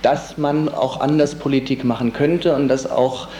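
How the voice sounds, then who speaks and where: A man being interviewed on the radio as a political organizer, speaking spontaneously and haltingly, often searching for words. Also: mid-range voice, fairly even intonation, dark tone. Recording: roomy, echoey, faint background noise.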